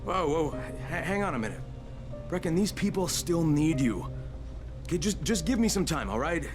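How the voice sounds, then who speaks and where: An actor playing a weary, blunt but caring undercover agent in a video game, speaking naturalistically. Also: male voice, fairly high pitch, sing-song, normal speed, over music. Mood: pleading.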